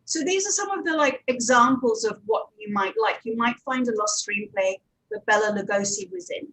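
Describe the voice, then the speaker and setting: Higher-voiced woman, practical panel speaker. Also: expressive, moderate pace, fairly bright tone, rough recording. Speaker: panel speaker, audio drama producer. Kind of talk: conference panel presentation.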